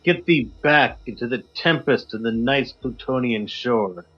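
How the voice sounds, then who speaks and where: A man guesting on a podcast, reading a poem in a measured, low dramatic delivery over a video call. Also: breathy edge, highs cut off.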